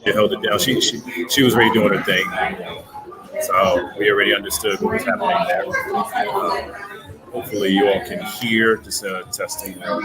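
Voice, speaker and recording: Male host of a live election stream, low voice, casual, with loud crowd noise under him. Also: low-quality recording. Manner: loose, off-the-cuff, checking the audio. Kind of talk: live broadcast hosting.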